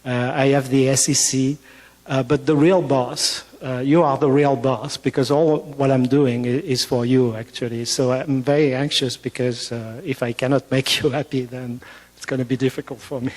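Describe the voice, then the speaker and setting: A man with a French accent delivers a conference talk, pausing often and restarting his sentences. His voice sits in an ordinary middle pitch. The sound carries a long reverb from a large hall. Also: fairly even intonation, darkish tone. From France. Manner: hesitant, many fillers and restarts. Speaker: physician giving a conference talk. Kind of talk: conference presentation.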